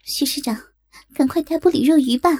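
A woman's voice: high-pitched, fairly quick, dark tone, pitched above the performer's own voice, lightly acted. A woman voicing an insistent, confident female investigator who presses her case emphatically in an audio drama. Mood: urgent.

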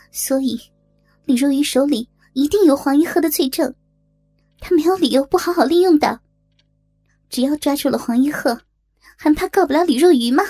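Woman acting an urgent, high-pitched woman investigator. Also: warm tone, close to an everyday voice. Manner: insistent, emphatic. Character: urgent, confident young woman investigator pleading her case. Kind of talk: audio drama acting.

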